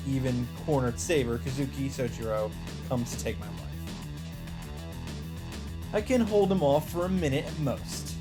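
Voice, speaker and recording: Man, medium pitch, let's play host, dramatic read-aloud narration, soft game music behind. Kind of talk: reading visual novel narration aloud.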